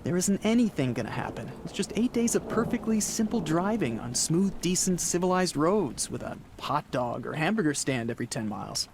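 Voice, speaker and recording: A man's voice: a little high, very animated, brisk, few pauses. An actor playing an anxious, haunted thirty-six-year-old motorist with an American accent, telling his story in a radio play. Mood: fond, reassuring. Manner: urgent, confessional. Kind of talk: dramatic first-person radio play narration.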